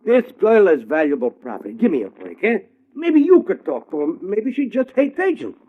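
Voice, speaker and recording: Casting: slangy, hustling American agent, high voice swinging all over, excitable, pleading, old radio drama. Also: male voice, normal speed. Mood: wheedling. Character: harried, fast-talking, hustling male talent agent. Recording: tinny, band-limited old radio recording.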